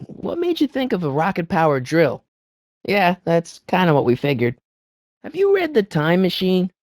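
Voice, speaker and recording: An earnest American teenage boy from an adventure video game. His voice is high and youthful, rising and falling with animated urgency. He pleads and persuades eagerly, with a nervous, stammering edge, recorded dry and clean. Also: no echo. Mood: curious, casual. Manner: hesitant, stammering, eager, fast-talking persuader. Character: earnest, anxious teenage boy hero of a time-travel adventure. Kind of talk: video game character dialogue.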